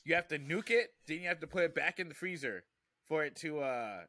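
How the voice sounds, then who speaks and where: Casting: male comedy podcast host, slightly high and bright, loose slangy delivery that doubles back on phrases. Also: lively intonation, brisk. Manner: stammering repetition, profane slang. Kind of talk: casual comedic podcast chat.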